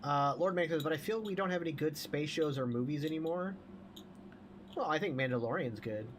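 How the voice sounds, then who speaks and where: A man's voice: on the high side, brisk, slight background noise. A man chatting casually as a podcast host, profane and opinionated.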